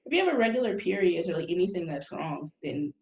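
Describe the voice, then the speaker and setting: A woman's voice: lowish voice, sing-song, quick pace, muffled recording. A woman in casual chat, adding dry short remarks. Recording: echoey room with noise.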